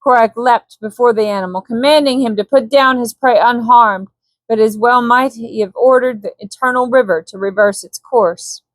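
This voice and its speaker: A woman reading a scripted bedtime story as a calm audiobook narrator. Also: on the high side, moderate pace, no room sound, rough recording.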